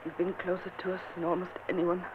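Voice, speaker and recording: A woman's voice: fairly low pitch, level tone, quick pace, background noise, lightly acted. Woman playing a proud dying noblewoman, weak and pleading, old radio drama, thin tinny sound. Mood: frail. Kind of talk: vintage radio drama acting.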